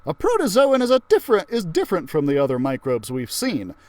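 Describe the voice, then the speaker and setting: Storybook science teacher, female, acted by a man: eccentric, enthusiastic, emphatic explainer, highish pitch, very lively. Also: brisk, no room sound. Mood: eager explaining.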